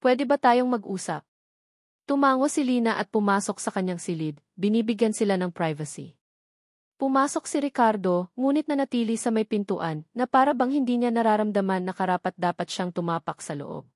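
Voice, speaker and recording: A woman's voice: mid-range voice, lively intonation, brisk, a little mellow, no room sound, clean studio sound. A woman with a Filipino accent reading a scripted romance story as a dramatic narrator.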